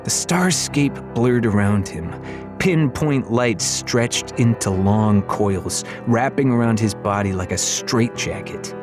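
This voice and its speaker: A man reading a children's fantasy novel as an audiobook narrator, in expressive, dramatic storytelling style. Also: on the low side, slow, music in the background.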